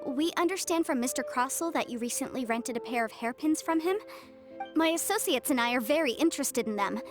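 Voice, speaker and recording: A woman's voice: high-pitched, animated, a little fast, over music. A woman playing a confident young legal adviser in a video game, articulate, courteous and businesslike. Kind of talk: video game character acting.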